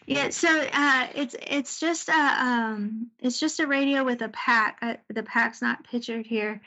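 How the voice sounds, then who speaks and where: A woman giving an informal slide presentation about her service as a Navy air traffic controller, talking conversationally with searching pauses. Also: a little high, bright, forceful tone, lo-fi sound. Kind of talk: informal slide presentation recounting deployment stories.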